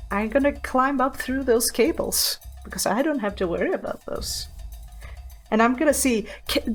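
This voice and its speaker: Unhurried, high-voiced woman playing a tabletop game. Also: rough recording. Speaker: tabletop game player, guest. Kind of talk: casual in-game musing. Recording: music behind the voice. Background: music.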